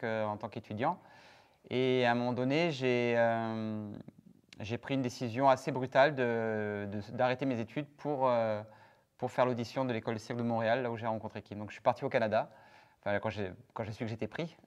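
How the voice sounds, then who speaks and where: A man's voice: mid-range voice, smooth, lo-fi sound. A man from France, a circus performer, answering interview questions with many hesitations. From France, Toulouse.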